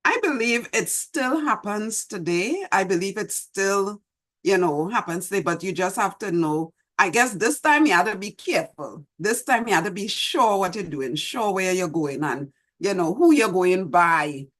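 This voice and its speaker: A middle-aged woman with a Trinidadian accent, hosting an online show and gently reminiscing as she reads her poem, recorded over a video call from a small room at home. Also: medium pitch, animated, moderate pace. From Trinidad and Tobago. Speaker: host. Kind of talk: reflective talk and poetry reading. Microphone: video call webcam.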